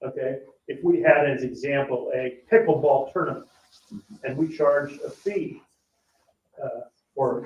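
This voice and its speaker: Distant, echoey man explaining finances haltingly. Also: mid-range voice, darkish tone, slight background noise. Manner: halting, plain-spoken, checks understanding with "okay?". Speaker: parks board official presenting the budget. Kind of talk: explaining finances at a public meeting. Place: indoor public board meeting room. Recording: echoey meeting room, distant dull mic.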